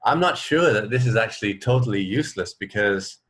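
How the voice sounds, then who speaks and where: A man's voice: on the low side, lively intonation, a little fast, a bit bright, slightly rough. A man co-hosting a radio show, chatting casually in an unscripted, conversational way. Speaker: radio co-host. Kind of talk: casual chat between music.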